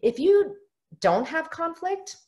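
A woman's voice: very high-pitched, big pitch swings, slow, darkish tone. A middle-aged woman who is a family therapist, giving an online workshop from a home office over a webcam, earnest and explanatory. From United States. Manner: earnest, explanatory, often acts out quoted voices. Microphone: webcam on a video call.

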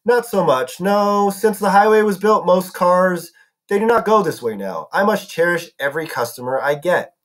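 Male reader voicing an old woman: sweet, lonely, quietly menacing; soft coaxing delivery, formal phrasing. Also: high voice, lively intonation, moderate pace. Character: sweetly sinister old woman, lonely garden statue maker.

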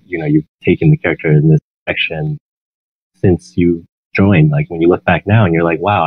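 A man's voice: sits low, no echo. A man hosting a podcast, asking interview questions in a casual, conversational way. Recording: muffled, phone-like narrowband line.

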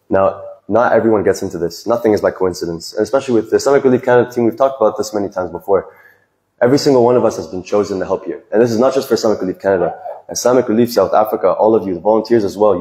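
A man's voice: on the low side, a little mellow. Earnest young man speaking in echoey hall. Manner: earnest, reflective, speaks from the heart with small restarts. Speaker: volunteer giving a farewell speech. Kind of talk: heartfelt speech to an audience. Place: large decorated hall with stage. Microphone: handheld microphone, recorded from the audience.

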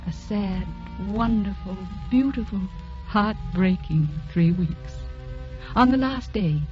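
Actress as wistful female narrator, radio drama, mid pitch, prominent music behind, dull vintage sound. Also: takes it slowly, natural voice. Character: wistful female storyteller of a romance. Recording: muffled old radio recording over music.

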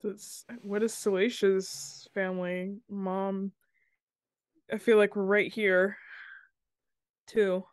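A woman's voice: mid-range voice, flat delivery, slow, long pauses, clear, resonant tone, no echo. A woman playing a tabletop roleplaying game over a video call, talking casually in character on a close mic.

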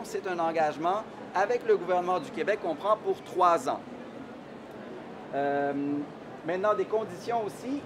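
A middle-aged man with a Québécois accent presents to an audience in a large exhibition hall, speaking into a handheld microphone. His voice sits high for a man, and he often pauses on "euh" as he explains. A clear wash of hall noise runs behind him. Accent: Québécois French. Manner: explanatory, frequent hesitations with "euh". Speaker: immigration consultant. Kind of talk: conference presentation.

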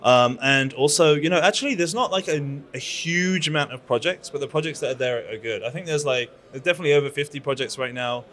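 A man's voice: a little high, fast, hardly any pauses, fairly bright tone. A man in his thirties with a British accent, a conference founder talking eagerly in an interview, with plenty of fillers and restarts, into a handheld mic at a conference booth. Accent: British English. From London. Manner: chatty, enthusiastic, many fillers and restarts. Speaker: conference founder and PR agency owner. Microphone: handheld microphone.

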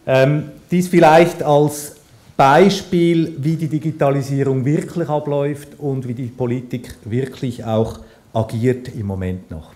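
A middle-aged man giving a conference keynote at a lectern microphone in a large lecture hall, measured and dry, with frequent hesitation fillers. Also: medium pitch, fairly even intonation, darkish tone. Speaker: keynote speaker, company CEO. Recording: very echoey hall with faint noise.

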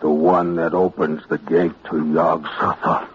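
A man playing a sinister, uncouth half-human with a rough voice, slow, ominous and insistent, in 1940s radio drama. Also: sits low, flat delivery, noisy background. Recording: dull old radio recording.

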